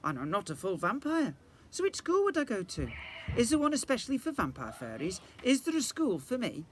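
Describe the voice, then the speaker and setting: A man voicing a reluctant, chatty young half-vampire fairy girl in a storybook, excitable and protesting. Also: very high-pitched, brisk, slight background noise, pitched above the performer's own voice, heavily acted. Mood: protesting, pleading.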